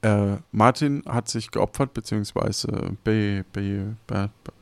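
A man hosting a podcast, recapping in a casual, halting and rambling conversational way with plenty of agreeing. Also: lowish voice, vocal fry, smooth, dry recording. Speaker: podcast host recapping an improvised game.

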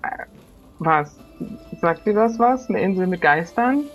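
A woman's voice: on the low side, expressive, a little slow, soft background music. A woman playing a practical, teasing female pirate who herds her crew in a casual, slightly bossy way during an improvised adventure. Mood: practical, uncertain.

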